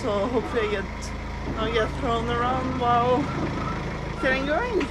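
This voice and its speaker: A woman's voice: on the high side, moderate pace, heavy background noise. Mother vlogging aboard a sailboat. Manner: bilingual, switches between English and French. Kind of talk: casual vlog narration. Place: on a sailboat outdoors.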